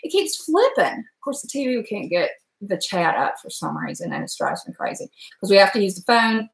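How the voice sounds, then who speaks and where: A woman's voice: mid-range voice, big pitch swings, a little fast, vocal fry. A woman in her forties with an Appalachian Virginia accent, chatting folksily with her live viewers while she cooks at the stove in her home kitchen. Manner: folksy, rambling, talks to chat viewers. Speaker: cooking live streamer. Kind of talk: chatty live-stream cooking talk. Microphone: webcam at stove. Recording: echoey kitchen.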